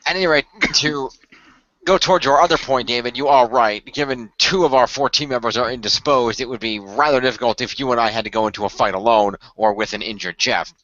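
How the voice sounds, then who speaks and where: Male squad leader for radio drama man acting, formal and hesitant, medium pitch, crisp edge, slightly dull close recording. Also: fairly quick, fairly bright tone, natural voice. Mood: formal, businesslike. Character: measured, formal male team leader briefing young demon-fighting heroes. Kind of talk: amateur radio play role-play.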